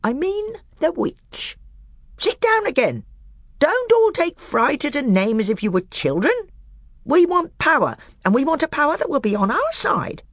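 A woman's voice: high-pitched, sing-song, normal speed, no room sound, studio quality, pitched above the performer's own voice. A woman doing a bitter, scornful male dwarf. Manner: cold, snapping, rising to a scream.

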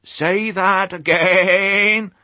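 A man voicing a simple-minded sheep who drawls her words slowly in a bleating, wondering way. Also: sits high, steady tone, pushed and bright, no room sound, pitched above the performer's own voice, heavily acted. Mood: amazed. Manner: slow drawn-out bleating delivery.

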